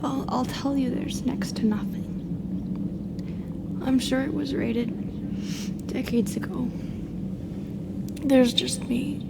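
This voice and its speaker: High, slow-spoken, lonely drider played by a woman. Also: steady tone, full character voice. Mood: resigned. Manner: hesitant, halting, emotional delivery. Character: lonely, sorrowful female drider raised by humans. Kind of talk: audio roleplay monologue. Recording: clearly noisy background.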